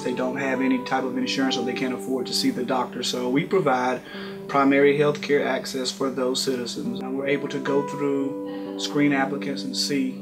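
Even-toned man over guitar music. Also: medium pitch. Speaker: clinic representative. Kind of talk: explanatory interview.

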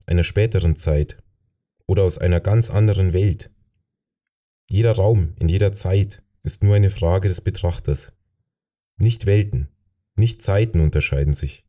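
Deep voiced guy reading a fantasy audiobook, really mellow n dark, measured. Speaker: audiobook narrator. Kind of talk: scripted narration of a fantasy novel.

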